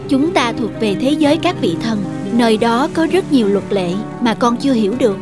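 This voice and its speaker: A woman voicing a gentle, firm mother goddess in a myth cartoon, patient and instructive. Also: a little high, lively intonation, fairly quick, close to an everyday voice. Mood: tender, solemn. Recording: music behind.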